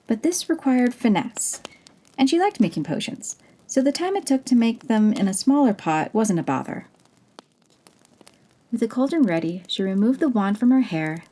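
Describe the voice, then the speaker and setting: Woman reading a fantasy story, gentle and unhurried, mid-pitch voice. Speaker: audiobook narrator.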